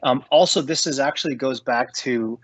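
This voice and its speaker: A man's voice: mid-range voice, moderate pace, husky, no echo. Earnest man advising on a dull-sounding call. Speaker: investor and business adviser on a panel. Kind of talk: spoken advice on a panel call. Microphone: online call.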